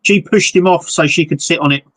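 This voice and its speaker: A man co-hosting a live stream, chatting in a relaxed, jokey way about model building. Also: fairly high pitch, brisk. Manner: relaxed, jokey, explains hobby builds with restarts. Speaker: livestream co-host, model builder. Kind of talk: casual chat.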